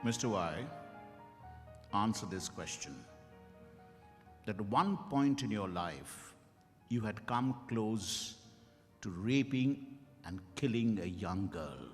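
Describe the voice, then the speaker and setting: Man acting a stern doctor, animated, echoing hall. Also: on the low side, slow pace, long pauses. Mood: stern, disapproving. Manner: emphatic, relentless, cross-examining. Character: stern accusing male doctor interrogating Mister Y. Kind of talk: dramatized stage reading. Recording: hall reverb with music behind.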